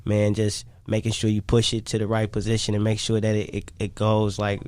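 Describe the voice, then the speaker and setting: A man's voice: lowish voice, monotone, a little fast, darkish tone, dry recording. A man with a Chicago accent talking casually as an interview guest, slangy and repeating himself for emphasis. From Chicago, United States. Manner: slangy, repetitive, emphatic phrasing. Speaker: guest, independent music artist.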